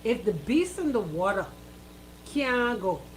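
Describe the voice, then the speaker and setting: High, lively Bahamian woman, slight background noise. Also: measured pace, rough recording. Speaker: radio co-host. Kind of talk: casual radio banter.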